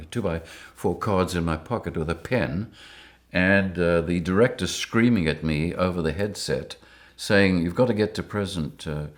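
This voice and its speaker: An older man with a very deep, low-pitched voice. He tells his stories in a lively way and keeps breaking into chuckles. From Rhodesia. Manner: lively anecdotes, chuckling often. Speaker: interviewee. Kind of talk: storytelling interview.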